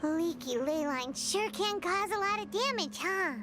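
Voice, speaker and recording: A tiny floating fairy girl chatters in a very high, squeaky voice that leaps all over the place. She sounds eager and chirpy, always exclaiming, over loud game sound effects. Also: expressive, unhurried, noisy background. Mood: curious. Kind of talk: video game voice acting.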